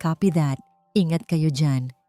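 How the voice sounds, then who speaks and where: A woman's voice: deep voice, measured pace, dark tone, no room sound. A woman with a Filipino accent voicing a supportive, concerned male business partner in an audiobook. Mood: concerned, ready. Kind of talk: audiobook character dialogue. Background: background music.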